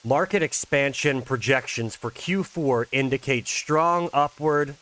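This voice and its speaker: A tense, dismissive businessman and father , read by a man, from a horror visual novel. His voice sits at an ordinary pitch, and he answers in short, clipped phrases that play down worry. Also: a little slow, fairly bright tone, a little hiss or hum, close to an everyday voice, poor audio.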